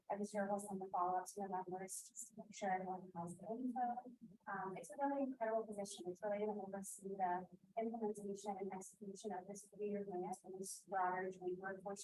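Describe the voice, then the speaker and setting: A soft-spoken woman, a college director, making a job announcement at a member meeting, with frequent fillers and apologies. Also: medium pitch, steady tone, brisk, rough recording. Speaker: college director of student initiatives. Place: meeting room.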